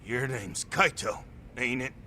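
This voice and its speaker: A man's voice: mid-range voice, measured pace, pushed and bright, a little hiss or hum. A man playing a hulking, blunt ex-gangster enforcer in a dubbed video game cutscene. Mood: weary, regretful. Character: hulking male ex-yakuza enforcer, blunt, world-weary father.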